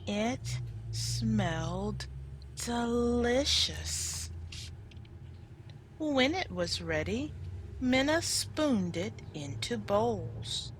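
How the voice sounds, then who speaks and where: A woman teacher reading a children's storybook aloud, enunciating deliberately for young listeners. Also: a little high, sing-song, a little hiss or hum. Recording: loud music behind the voice. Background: music.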